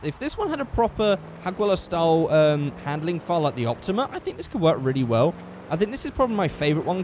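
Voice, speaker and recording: A man with a hoarse, worn-out voice giving casual commentary over a driving game, getting excitable at close calls. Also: medium pitch, background noise. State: hoarse, losing his voice. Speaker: gaming channel host.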